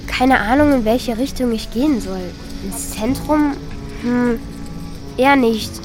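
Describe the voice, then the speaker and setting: A woman's voice: on the high side, a little slow, over music, natural voice. A woman playing Mika, an earnest, lonely, kind-hearted young child in a German children's radio play. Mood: worried.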